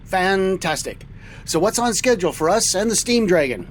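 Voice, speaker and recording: A man's voice: high voice, expressive, normal speed, slight background noise. A man playing an easygoing, enthusiastic trucker captain who hosts a semi-scripted radio-serial roleplay. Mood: upbeat, easygoing. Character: upbeat male space-cadet style trucker captain. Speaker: host.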